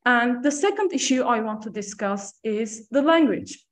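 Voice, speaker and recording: A woman's voice: a little high, expressive. Earnest woman in a roomy hall. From Turkey. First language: Turkish. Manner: measured, earnest, with frequent "so" and "uh". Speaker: panel speaker. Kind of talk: prepared talk at a panel event. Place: lecture room on stage, seated panel. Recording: echoey lecture room.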